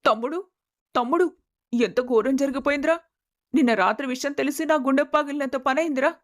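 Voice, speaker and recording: A woman's voice: sits high, brisk, close to an everyday voice. A woman playing a scheming older sister who puts on exaggerated sympathy in a family story melodrama. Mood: mock wailing.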